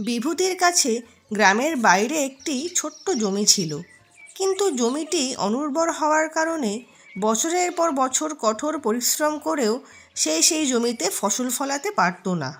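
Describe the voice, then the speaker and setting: High-pitched woman narrating a folk tale. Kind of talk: scripted storybook narration.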